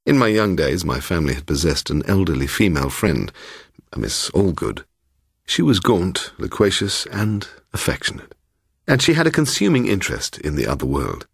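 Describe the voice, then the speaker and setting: Deep, gravelly male storyteller. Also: big pitch swings, moderate pace, no room sound, studio quality. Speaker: audiobook narrator. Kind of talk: dramatic first-person ghost story narration.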